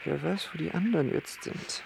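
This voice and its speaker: A man's voice: a little high, moderate pace, creaky, background noise. An actor playing a sardonic, grumbling stranded man in a radio play, dry and mocking in a colloquial way.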